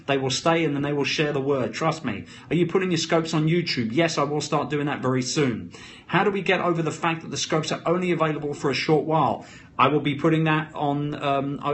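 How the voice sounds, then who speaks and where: Hyped-up London man, higher-pitched voice. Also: a bit bright. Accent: London British. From London, England. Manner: emphatic, punchy short phrases, hyped-up. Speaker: live-stream host. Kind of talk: off-the-cuff motivational live-stream chat. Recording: noisy live phone stream.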